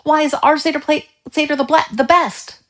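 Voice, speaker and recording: A woman's voice: sits high, flat delivery, moderate pace, a bit bright, voice pushed up. A woman voicing a bubbly, eager young schoolgirl in a children's storybook. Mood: excited.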